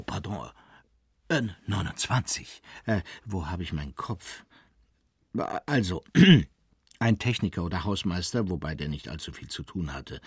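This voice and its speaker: An actor playing an agitated, evasive witness in a German radio play, hesitant, testy and given to digressions. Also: male voice, sits low, slightly rough, no echo, clean studio sound, full character voice. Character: evasive, agitated, rambling male witness, enthusiast of poetry.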